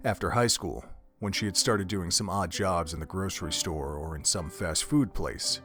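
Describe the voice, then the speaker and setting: A man's voice: sits low, a bit bright. A man narrating an audio drama from a script, telling a story in the third person.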